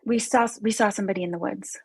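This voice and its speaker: A woman playing an earnest, spooked young camp counselor in a horror script table read, with a husky voice. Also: mid-range voice, fast talker. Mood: uneasy.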